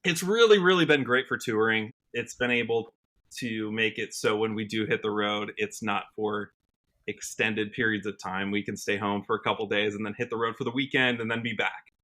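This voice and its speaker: Casual interview guest: American man, early 30s, musician, bright tone, conversational delivery. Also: fairly low pitch, normal speed. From Washington State, United States. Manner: conversational, peppers talk with "you know".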